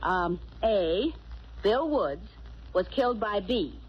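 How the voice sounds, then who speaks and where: Brisk, wry radio sleuth's assistant. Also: female voice, medium pitch, expressive, husky. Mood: deliberate, triumphant. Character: brisk, wry, loyal young female detective's assistant. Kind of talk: 1940s radio acting. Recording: noisy, muffled old radio recording.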